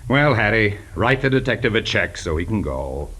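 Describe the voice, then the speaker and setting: A man's voice: on the low side, very animated, moderate pace, sharp tone, slightly rough, heavily acted. A man playing a curt, self-important character in a 1940s radio noir mystery. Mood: curt, dismissive. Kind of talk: 1940s radio drama acting. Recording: vintage 1940s radio broadcast.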